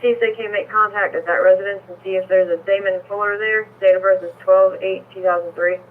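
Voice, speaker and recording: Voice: medium pitch, steady tone, fast talker, phone-quality sound, a little hiss or hum. A police dispatcher reading out call details over a two-way radio scanner feed.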